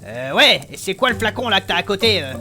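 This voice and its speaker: A woman's voice: medium pitch, sing-song, fast talker, music in the background, lightly acted. A woman voicing a curious, teasing young adventurer in an audio fiction. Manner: curious, questioning.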